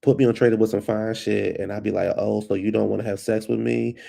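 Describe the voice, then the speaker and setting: Man, low gravelly voice, congested and hoarse-ish, rambling casual vlog chat. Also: flat delivery, quick pace, mellow. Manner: rambling, loose and chatty, calls listeners baby. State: stuffy nose, losing his voice. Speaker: vlog host. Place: at home at a computer.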